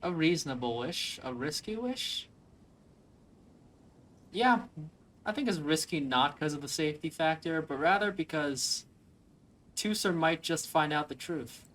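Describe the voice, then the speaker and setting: A man's voice: on the high side, lively intonation, unhurried, long pauses, a bit bright. Young man musing over gameplay. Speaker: let's play streamer. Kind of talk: casual gameplay commentary, reading options aloud. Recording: voice-over with game music behind.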